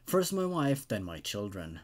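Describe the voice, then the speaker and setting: A man's voice: fairly low pitch, sing-song, a little slow. A man hosting horror story readings, moving between narration and informal, casual commentary. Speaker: host narrating horror stories.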